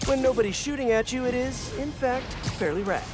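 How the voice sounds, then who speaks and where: An actor playing a young, wisecracking, earnest American superhero in a video game, tossing out quippy asides. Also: male voice, very high voice, brisk, over loud music. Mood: casual, awkward. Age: young adult. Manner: quippy, self-talking.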